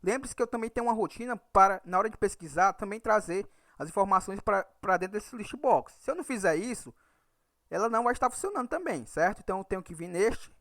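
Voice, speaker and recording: A man's voice: sits high, expressive, quick pace, a bit bright, no room sound, clean studio sound. A man from Ceará with a northeastern Brazilian accent, teaching a programming tutorial and checking in with "right?" after nearly every point. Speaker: Excel VBA instructor. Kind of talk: screen-recorded programming tutorial.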